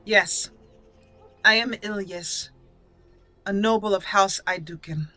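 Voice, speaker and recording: A woman roleplaying a proud, blunt elf mage noble in a fantasy game, speaking formally and deliberately. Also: medium pitch, sharp tone, faint music behind, poor audio. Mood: assertive. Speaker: host of a gaming channel. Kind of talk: improvised in-character game roleplay narration.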